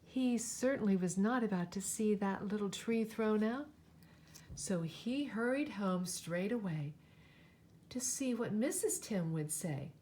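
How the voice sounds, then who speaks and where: A woman reading a picture book aloud to children in an expressive, dramatic way. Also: mid-range voice, a little slow, slight background noise. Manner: animated, dramatic, with asides to listeners.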